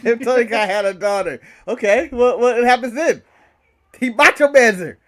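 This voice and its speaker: A man's voice: very high voice, normal speed. A man co-hosting a wrestling podcast in casual, opinionated chat, hammering points home with repetition and swearing. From United States. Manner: animated, repetitive emphasis, profane.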